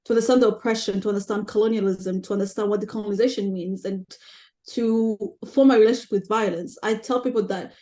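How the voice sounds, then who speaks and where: A woman's voice: mid-range voice, fairly even intonation, quick pace, dark tone. Earnest young woman from Rwanda. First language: Kinyarwanda. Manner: earnest, thinks aloud with restarts. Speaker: guest. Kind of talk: casual conversation.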